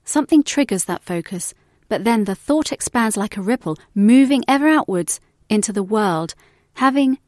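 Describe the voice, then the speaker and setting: Female guest reader, medium pitch, measured contemplative book reading, very dry recording. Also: darkish tone, no echo. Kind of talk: reflective scripted reading.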